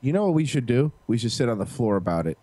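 A man's voice: mid-range voice, fast talker, dry recording. A man hosting a casual podcast, talking bluntly and swearing freely. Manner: profane, blunt, lively. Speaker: podcast host. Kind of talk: casual chat.

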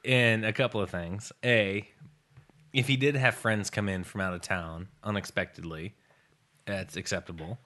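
A man's voice: lowish voice, fairly even intonation, moderate pace, sharp tone. A man co-hosting a casual podcast, blunt and profane, laughing often. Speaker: podcast co-host.

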